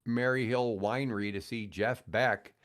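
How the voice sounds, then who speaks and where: Podcast guest: older American man, casual rambling storyteller with an average-pitched voice. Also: steady tone, unhurried, a bit bright. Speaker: podcast guest, retired radio host. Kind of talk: casual anecdotal podcast chat.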